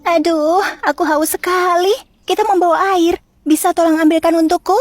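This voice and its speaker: A woman plays a young storybook princess. The voice is very high, gentle and polite, with a plaintive edge. Light music runs underneath. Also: steady tone, moderate pace. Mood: weary, pleading. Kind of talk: children's fairy-tale dramatization.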